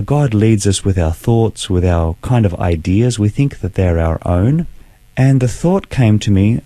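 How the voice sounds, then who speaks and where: A man, a guest telling his personal faith story in an earnest, measured way. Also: on the low side, warm tone, no echo. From Australia. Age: 40s. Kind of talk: personal testimony in interview.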